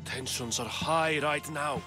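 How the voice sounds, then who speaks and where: An actor playing an anxious, indignant gentleman of ancient Athens in a game cutscene, fretful and earnest. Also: on the high side, slow. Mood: worried. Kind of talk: video game cutscene acting. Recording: music under the voice.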